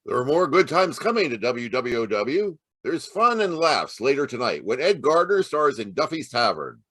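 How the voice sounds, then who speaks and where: Male vintage radio announcer: theatrical, lively swings in pitch, close dry sound. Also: moderate pace, no echo.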